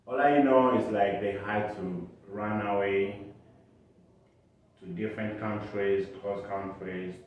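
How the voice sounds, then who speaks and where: A young man raised in Uganda, answering interview questions in a halting way with many pauses and restarts, recorded from a distant mic in an echoey room. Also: fairly low pitch, slight background noise. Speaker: interview guest. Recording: echoey, distant, dull sound.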